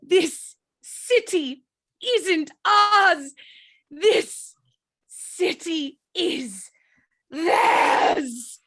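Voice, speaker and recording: A woman's voice: very high voice, expressive, very slow, fairly bright tone, full character voice. A woman playing a tender, defiant young hero who commands rats, in an amateur table read. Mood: tearful defiance.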